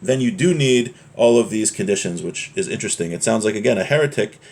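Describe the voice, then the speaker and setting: A man's voice: lowish voice, lively intonation, fast talker, a little mellow, slight background noise. A man giving an informal religious lesson as a teacher, explaining texts in a conversational way and checking in with his listeners.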